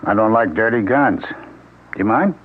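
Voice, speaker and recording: An actor playing Max, a paranoid, suspicious husband, bitter and sarcastic, in a 1970s radio drama. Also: male voice, on the low side, lively intonation, a little slow, slight background noise. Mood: cold. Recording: tinny old radio broadcast with music.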